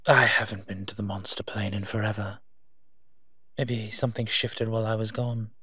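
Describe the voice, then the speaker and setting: A man playing a gentle, teasing monster who protects children, speaking softly in an intimate audio roleplay addressed to the listener. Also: lowish voice, fairly even intonation, slightly rough, no room sound. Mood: serious, concerned. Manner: soft-spoken, unhurried, playfully teasing.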